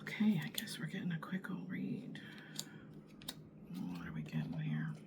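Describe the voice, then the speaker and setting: A woman reading tarot in an unhurried, musing way. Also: a bass voice, wildly swooping pitch, whispered. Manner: unhurried, musing, many fillers and pauses. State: husky morning voice from allergies. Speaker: tarot reader. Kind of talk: casual tarot reading to camera.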